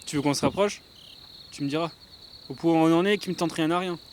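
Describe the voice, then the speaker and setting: A man's voice: a little high, fast talker, very noisy, close to an everyday voice. A man playing a grumbling, sarcastic traveller in an audio drama, with a colloquial, sardonic delivery. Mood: puzzled, hushed. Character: grumbling, sarcastic male traveller, quick to complain. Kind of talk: French audio drama acting.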